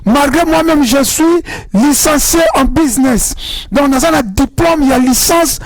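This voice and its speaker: A man's voice: very high-pitched, unhurried, no echo, rough recording. A young man from Congo with a Congolese accent, mixing French and Lingala as he hosts a rallying talk show monologue.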